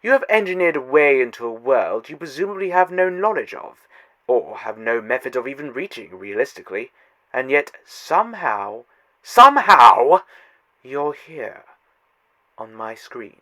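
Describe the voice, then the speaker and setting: A man's voice: mid-range voice, sing-song, unhurried, poor audio. A man voicing a taunting, godlike male trickster in a fan audio drama, theatrical and mocking. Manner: theatrical, taunting, swinging loud to hushed.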